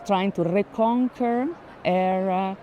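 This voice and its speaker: A woman who is a non-native English speaker, giving conversational commentary on art as a guest and pausing to search for words. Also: mid-range voice, muffled recording. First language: not English. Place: indoor art fair, on the move. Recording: light ambient noise of a busy fair.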